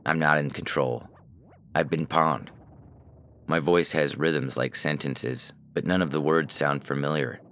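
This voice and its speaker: A man playing a weary, paranoid hacker who narrates a science fiction audiobook in a terse, deadpan, sardonic manner. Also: rumbling low, measured pace, slight background noise, exaggerated voice. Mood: dazed.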